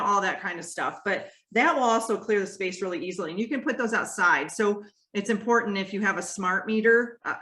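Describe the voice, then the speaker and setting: A woman hosting a casual spiritual podcast chat, talking loudly and with animation and laughing often. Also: mid-range voice, roomy sound. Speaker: podcast host.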